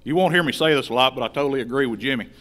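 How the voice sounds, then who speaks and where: A man's voice: mid-range voice, racing, a bit bright. A man answering as a candidate at a forum, assertive and repeating phrases for emphasis. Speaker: constable candidate.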